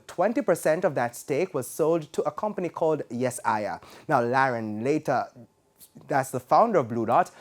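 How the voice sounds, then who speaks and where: A man with a Jamaican accent reading scripted business news in a formal, measured broadcast delivery. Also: fairly high pitch. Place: TV news studio.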